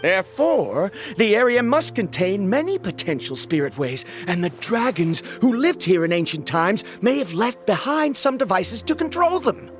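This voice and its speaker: A pedantic eager scholar. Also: male voice, high voice, very animated, normal speed, hardly any pauses. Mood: lecturing. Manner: pedantic, lecturing. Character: eager young male scholar, fond of his theories. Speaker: researcher. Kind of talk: video game voice acting. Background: game music.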